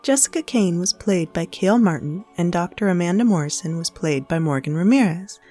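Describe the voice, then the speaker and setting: A woman's voice: on the low side, dark tone. A woman reading scripted podcast credits and appealing to listeners as the show's announcer.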